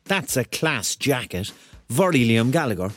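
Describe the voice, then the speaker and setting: A man's voice: mid-range voice, sing-song, unhurried, a bit bright, faint music behind. An actor playing a touchy, defensive man of thirty in a radio drama, with a Cork accent and an indignant, rambling, repetitive manner. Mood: wry, casual. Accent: Cork Irish. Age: 30s. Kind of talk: radio drama monologue and dialogue.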